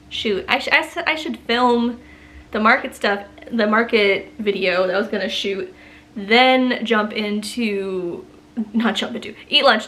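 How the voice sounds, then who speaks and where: A woman's voice: on the high side, bright, forceful tone. A young woman talking casually to camera about her small business, rambling with lots of ums, a bit stuffy from allergies. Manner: chatty, rambling, many ums and asides. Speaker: small business owner vlogging. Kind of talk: casual vlog chat to camera. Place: home studio office.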